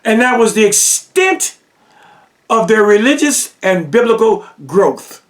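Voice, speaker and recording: A man's voice: high voice, very animated, takes it slowly, recorded from a distance. A man giving a lecture-style religious teaching monologue, pressing his points with rhetorical questions and repetition.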